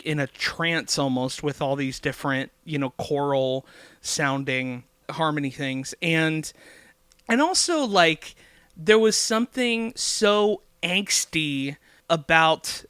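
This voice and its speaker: Chatty man with a bright, crisp voice. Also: on the high side, no echo. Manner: enthusiastic, rambling with many fillers. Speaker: podcast guest, singer-songwriter. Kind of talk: casual music chat.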